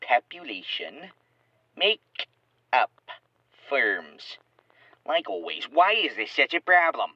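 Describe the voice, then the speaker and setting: A man's voice: medium pitch, very animated, slow, long pauses, dry recording. A man playing a passive-aggressive alien accounting bureaucrat in an audio comedy, officious and condescending through an intercom filter. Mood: irritated. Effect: radio intercom filter. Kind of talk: scripted audio comedy acting. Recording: dull, dry intercom call.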